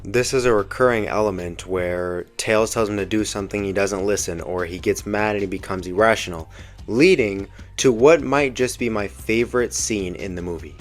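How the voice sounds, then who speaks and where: Low-voiced young man reviewing a film. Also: moderate pace. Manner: slangy, informal, earnest fan enthusiasm. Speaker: video essay host reviewing a film. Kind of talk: casual opinion commentary. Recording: music behind the voice. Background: background music.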